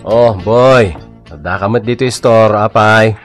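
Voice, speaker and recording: A man's voice: lowish voice, slow pace, faint music behind. A man acting a scheming, teasing shopkeeper in an Ilocano comedy radio drama, with an Ilocano accent and exaggerated, exclamatory delivery.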